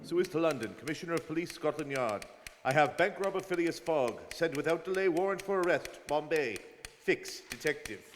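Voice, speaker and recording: A man plays a dogged, suspicious police detective in a radio play, sure of himself and insistent. His voice sits a little high and rings with long hall reverb. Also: lively intonation, fairly quick, soft background music, lightly acted. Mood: brisk, reporting. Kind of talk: old-time radio drama acting. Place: performed live in a studio.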